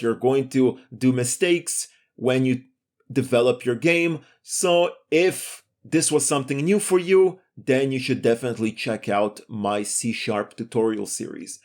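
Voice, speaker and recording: A man giving a step-by-step screencast programming lesson in a methodical, explanatory way. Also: mid-range voice. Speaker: programming tutorial instructor.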